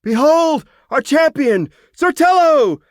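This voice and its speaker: A man voicing a jubilant animal counselor in a children's fantasy audiobook, proclaiming to a crowd in loud, exultant cries. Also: very high-pitched, sing-song, slow pace, no echo, clean studio sound, voice pushed up, full character voice. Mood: triumphant.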